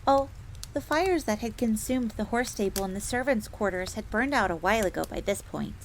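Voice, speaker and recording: Woman voicing a high-voiced, polite fox maid. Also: expressive, brisk. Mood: earnest storytelling. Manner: refers to herself in third person, genteel. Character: polite, eager fox maid assassin telling her tale. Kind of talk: in-character storytelling, audio roleplay. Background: crackling fire ambience.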